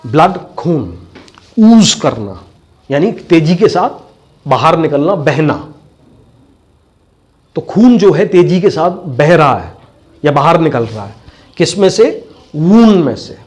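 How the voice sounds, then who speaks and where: Male accent coach, Indian accent, voice a little higher than average, instructive classroom style with deliberate repetition. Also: very animated, darkish tone. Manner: didactic, repeats key phrases. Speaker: voice and accent teacher. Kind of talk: bilingual classroom lecture.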